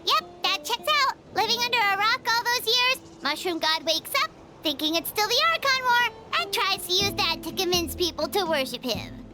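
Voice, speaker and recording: Very high, bouncy tiny floating game companion, chirpy and excitable, with loud music in the mix. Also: female voice. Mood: wry. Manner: high squeaky voice, quick exclamations.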